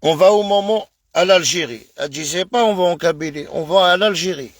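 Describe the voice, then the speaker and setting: Man from France, high-ish voice, slow and insistent, streaming live into a close phone mic with dry sound. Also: dry recording. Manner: emphatic, repetitive, addresses viewers as brother. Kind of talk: casual live-stream rant.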